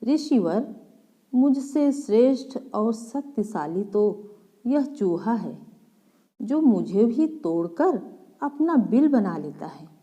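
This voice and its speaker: A woman's voice: a little high, very animated, slow, mellow, big-room sound. A woman voicing a stately mountain that speaks humbly and formally in a storybook fable. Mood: humble.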